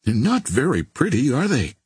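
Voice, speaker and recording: A man's voice: mid-range voice, huge pitch swings, moderate pace, darkish tone, husky, dry recording, clean studio sound, voice pushed up, heavily acted. A man voicing a petulant, easily alarmed young society woman in an audiobook. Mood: sweetly sarcastic. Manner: sweetly sarcastic, pleading, exclaiming.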